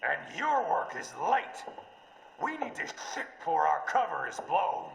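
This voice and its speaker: A man playing a crude, sneering mercenary villain with a gravelly voice in a video game. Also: on the high side, sing-song, measured pace, a bit bright, exaggerated voice. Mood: impatient, sneering. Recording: echoey room, faint noise.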